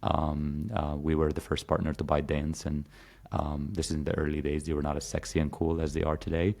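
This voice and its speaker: Podcast guest: man in his thirties from Egypt, deep and even, loose and chatty with many restarts. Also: fairly quick, creaky. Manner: rambling, many fillers and restarts. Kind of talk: casual conversation.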